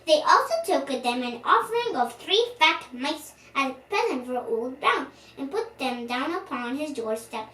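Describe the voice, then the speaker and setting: A high, lively child's voice reads a storybook aloud with playful expression. The sound is roomy and a little distant from the mic. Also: moderate pace, few pauses, slight background noise. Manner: expressive, playful, speaking to young listeners. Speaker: story reader and host.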